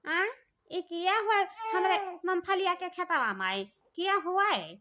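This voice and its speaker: A woman's voice: extremely high pitch, very animated, measured pace, heavily acted. A woman playing an angry, scolding village farmer in a comic cartoon, speaking with an eastern Uttar Pradesh accent and repeating herself. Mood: indignant. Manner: scolding, repetitive, confrontational. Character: angry village woman farmer accusing thieves of stealing peanuts. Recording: dull lo-fi audio.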